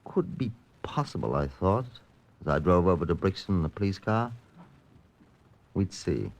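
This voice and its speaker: An actor playing a dry, determined chief inspector who narrates a vintage radio police drama in measured, understated tones. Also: male voice, sits low, big pitch swings, gravelly, full character voice. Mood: brisk, terse. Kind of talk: golden age radio police drama acting. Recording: dull, lo-fi old radio recording.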